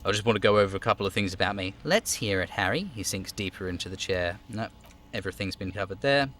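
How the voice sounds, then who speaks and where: A man streaming a role-playing game, reading it aloud in a theatrical, expressive way with casual asides. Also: low-pitched, quick pace, a little hiss or hum.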